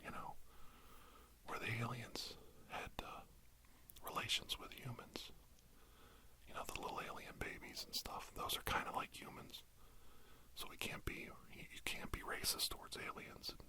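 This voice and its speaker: A man whispers his way through a meandering ASMR tale, posing as a healing doctor. His delivery is hesitant and deadpan earnest, with pitch that swings widely whenever voice breaks through the whisper. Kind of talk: rambling whispered ASMR storytelling.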